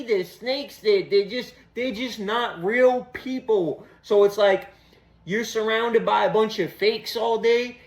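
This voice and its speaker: High-voiced Boston man, echoey room. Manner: profane, streetwise, repeats "you know what I'm saying". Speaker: comedy channel host. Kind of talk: casual stand-up monologue to camera.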